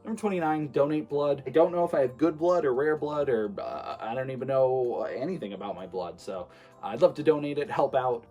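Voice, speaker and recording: A young man of average pitch chats loosely to his viewers, rambling through plans with lots of fillers and false starts. Faint music plays quietly under his voice. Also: brisk. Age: 20s. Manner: relaxed, rambling, many fillers and restarts. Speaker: streamer and vlogger. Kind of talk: casual talk to camera.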